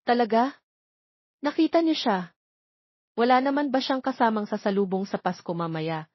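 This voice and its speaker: A woman's voice: fairly high pitch, moderate pace, no echo, dull audio, pitched above the performer's own voice, natural voice. A woman voicing a caring young mother in an audio drama, fondly chiding and a little fretful. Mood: surprised. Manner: fond, mildly fretful, chiding. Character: caring young mother, gently scolding her twin sons.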